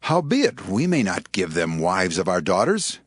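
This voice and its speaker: An actor playing a stern Israelite spokesman in a Bible drama, declaiming gravely. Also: low-pitched, very animated, a little slow, no echo, studio quality, exaggerated voice.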